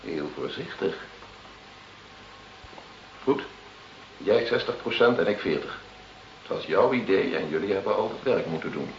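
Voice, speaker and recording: Sly, deep-voiced radio-play gangster. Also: male voice, animated, a little slow, gravelly, pauses often, heavily acted. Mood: coaxing, calculating. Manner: sly, mock-friendly, taunting. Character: smooth, mocking male gangster, a blackmailing drug smuggler. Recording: muffled, noisy, roomy old radio recording.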